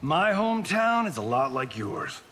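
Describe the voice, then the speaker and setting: A man's voice: medium pitch, very animated, unhurried, big-room sound, heavy background noise. A man playing a vain, flippant action movie star who teases glibly in fighting game banter. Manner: glib, teasing. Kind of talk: video game character banter.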